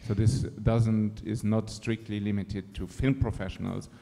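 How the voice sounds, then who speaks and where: A man's voice: on the low side, fairly even intonation, measured pace, vocal fry, dark tone. A man from Luxembourg, a screenwriter-director speaking from the floor in a thoughtful way with many fillers. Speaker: audience member, screenwriter-director. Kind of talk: question from the floor. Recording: hall reverb.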